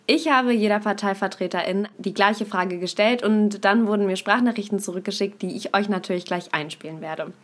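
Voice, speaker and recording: A woman's voice: mid-range voice, a little fast, few pauses. A young woman hosting a podcast, explaining things to her listeners and speaking to them directly. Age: young adult. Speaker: podcast host. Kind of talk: scripted podcast intro and explainer.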